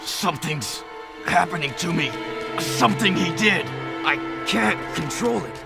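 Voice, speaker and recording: Blunt young game hero. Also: male voice, high voice, expressive, measured pace, gravelly, over loud music, heavily acted. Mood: alarmed. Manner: blunt, dryly sarcastic. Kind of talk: video game cutscene acting.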